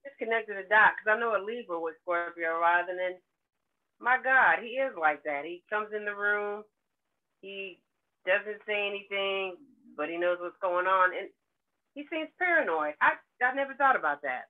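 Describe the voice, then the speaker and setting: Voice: medium pitch, expressive, normal speed. Participant on a tinny phone-like line. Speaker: meetup participant. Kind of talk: casual chat.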